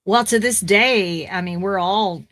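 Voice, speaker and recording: A woman in her forties, a voice actor guest on a podcast, chatting in a rambling way with fillers and restarts, her voice slightly raspy. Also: mid-range voice, measured pace, fairly bright tone. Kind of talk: casual podcast interview.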